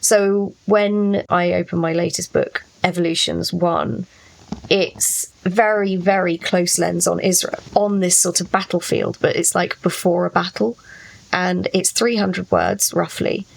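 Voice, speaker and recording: Woman, low pitch, creaky voice, fiction author, casual podcast discussion, reflective. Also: steady tone, a little slow, rough recording.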